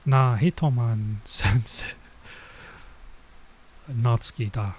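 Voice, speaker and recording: A man streaming a visual novel, reading lines aloud and commenting casually, haltingly sounding out some words. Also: medium pitch, darkish tone, husky.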